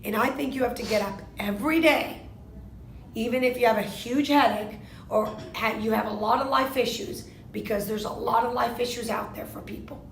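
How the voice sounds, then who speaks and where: A woman in her fifties, born in Argentina, answering interview questions in a measured way, often posing a question to herself and then answering it. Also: a little high, roomy sound, a little hiss or hum, low-quality recording. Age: 50s. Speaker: interviewee, lawyer.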